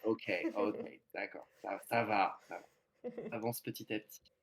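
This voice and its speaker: A man's voice: fairly low pitch, steady tone, vocal fry, slight background noise. A man co-hosting a casual book podcast, joking and chuckling as he talks. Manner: quick, joking, chuckles often. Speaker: podcast co-host. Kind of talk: casual book-club chat.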